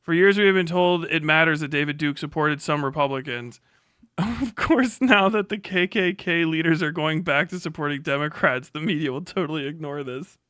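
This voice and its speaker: A man's voice: fairly high pitch, moderate pace, sharp tone, clear, resonant tone, no room sound, studio quality. A man livestreaming sarcastic, profane off-the-cuff commentary in a mocking, exclamatory way. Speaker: livestream host.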